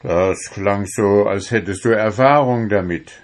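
A man's voice: fairly low pitch, a little slow, lightly acted. A man voicing an earnest, bashful gnome who fancies himself a poet, in a storybook. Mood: musing. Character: lovestruck male gnome, earnest amateur poet. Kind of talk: storybook character voice.

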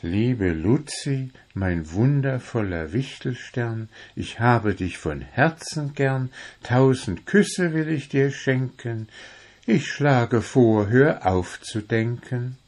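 A man's voice: medium pitch, expressive, slow pace, darkish tone. A man voicing an earnest, bashful gnome who fancies himself a poet, in a storybook. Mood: tender, reciting. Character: lovestruck male gnome, earnest amateur poet. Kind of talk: storybook character voice.

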